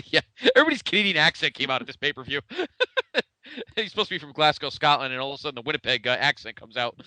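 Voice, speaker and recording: A man's voice: fairly high pitch, expressive, quick pace, sharp tone, no echo. A man co-hosting a podcast in casual, conversational retrospective chat, with a blunt way of talking. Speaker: podcast co-host.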